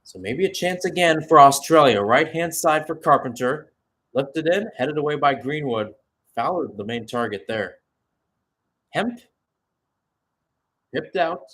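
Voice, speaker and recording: A man's voice: on the high side, lively intonation, unhurried, long pauses, a bit bright. A man giving live radio-style football commentary, switching between short clipped calls and conversational, analytical asides.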